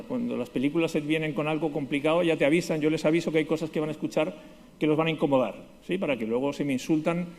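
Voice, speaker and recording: A man's voice: a little high, darkish tone. A middle-aged man from the Basque Country with a Spanish accent, giving a conference keynote with ironic, self-deprecating humor. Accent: Spanish from Spain. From Spain, Basque Country. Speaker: conference speaker. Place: large conference hall. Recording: echoey hall reverb.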